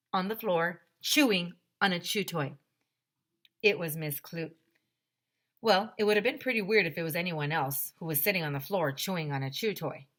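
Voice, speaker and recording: Lower-voiced woman reading aloud to children. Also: fairly bright tone. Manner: addresses children directly, emphatic repetition. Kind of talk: expressive children's read-aloud.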